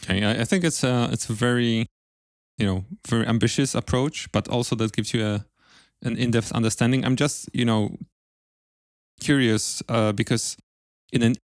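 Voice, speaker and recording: A man hosting a casual technical podcast interview, speaking in halting phrases with repeated words. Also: medium pitch, fairly even intonation, no echo, studio quality.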